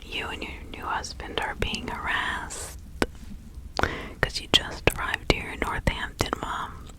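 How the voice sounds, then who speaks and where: A woman plays an older village witch from the 1650s in an ASMR roleplay. She speaks in a breathy whisper at a slow, halting pace. Her manner is soothing, chatty and sympathetic, as if sharing confidences with a visitor. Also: close to an everyday voice, low-quality recording. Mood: welcoming, sympathetic.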